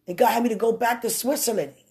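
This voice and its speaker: Woman preacher, deep voice, animated testimony style, loud then hushed. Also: fast.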